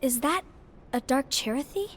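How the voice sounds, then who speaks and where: An actress playing a gentle, softhearted young female apprentice, earnest and emotional. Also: sits high, expressive, moderate pace, slight background noise. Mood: delighted. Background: game score music.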